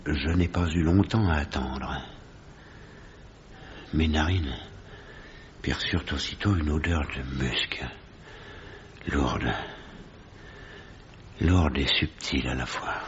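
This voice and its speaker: An actor playing a grave gentleman narrator who recounts horror in measured, literary French radio drama style. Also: very deep voice. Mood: grave, ominous. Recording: dull, noisy old radio recording.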